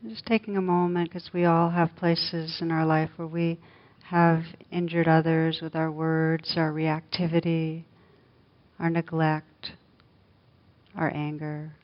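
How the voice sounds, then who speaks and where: Female meditation teacher, low even delivery, gentle and unhurried; dull lo-fi recording. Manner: slow, soft, gentle guidance with long pauses. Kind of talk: guided meditation.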